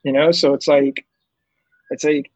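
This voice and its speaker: A man's voice: fairly high pitch, level tone, a little slow, darkish tone, low-quality recording. A man who writes comics, talking in a loose, casual way with lots of fillers. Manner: loose, filler-heavy, acts out voices with bursts of laughter. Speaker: comic book writer, guest. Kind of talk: casual conversational interview.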